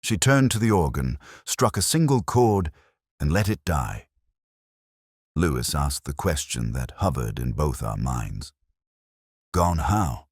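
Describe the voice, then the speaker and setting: A man reading scripted first-person detective fiction as narrator, in a measured, reflective, literary manner. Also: very deep voice, lively intonation, breathy edge, no echo, studio quality.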